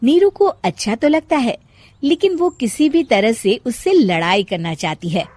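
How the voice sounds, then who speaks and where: A woman's voice: fairly high pitch, animated, measured pace, a little mellow, no echo. A woman storyteller reading scripted narration for a moral bedtime story.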